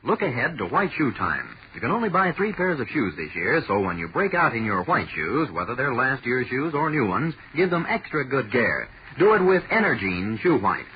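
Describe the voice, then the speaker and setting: A man's voice: mid-range voice, very animated, normal speed, few pauses. An American man announcing a scripted 1940s radio commercial in an instructive, salesmanlike way. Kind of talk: 1940s radio advertising read. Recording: dull, noisy old radio recording.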